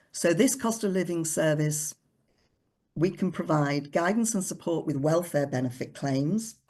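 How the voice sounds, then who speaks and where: A woman with a low voice presents her charity's support services over a video call. She goes through the list steadily and plainly. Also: darkish tone. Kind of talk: online forum presentation.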